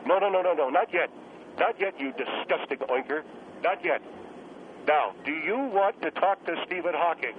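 A man's voice: a little high, expressive, normal speed, lightly acted. A man acts out an irascible male scientist calling a comedy radio show. He harangues and belittles in a condescending way. The line is thin and telephone-like, with faint noise. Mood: angry scolding. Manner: haranguing, insulting, goading. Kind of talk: comic radio phone-in. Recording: tinny, noisy phone line.

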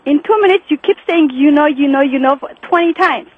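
Native: not English. Sex: female. Role: fast-talking caller on a muffled phone line. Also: sits high. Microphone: telephone.

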